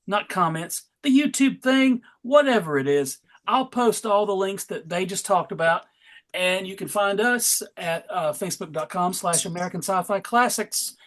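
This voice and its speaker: Man, high voice, informal host, casual panel talk, self-correcting. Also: a bit bright.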